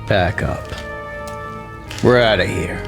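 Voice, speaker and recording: A by-the-book army lieutenant who talks gruffly and with contempt. His voice is low and gravelly and swings widely in pitch, with music behind him. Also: expressive, takes it slowly, heavily acted. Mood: curt.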